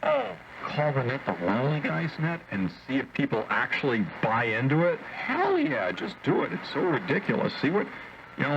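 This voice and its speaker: A man chatting casually over shortwave ham radio in a wry, opinionated, joking way, like an operator in a group discussion. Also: medium pitch. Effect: shortwave radio transmission. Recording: dull, noisy shortwave radio reception. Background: radio static.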